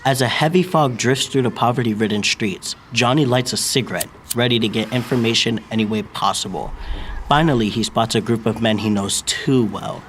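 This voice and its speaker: A man's voice: medium pitch, a little fast, a bit bright, a little hiss or hum, lightly acted. A young man playing the solemn, dramatic narrator of an old-time radio mystery show. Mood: suspenseful. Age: young adult. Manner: dramatic, formal storytelling.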